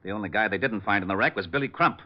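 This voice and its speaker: An American actor playing a gruff, short-tempered police lieutenant in a 1940s radio crime drama, exasperated and bossy. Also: male voice, on the low side, racing, natural voice. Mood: serious, urgent. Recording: muffled vintage 1940s radio recording.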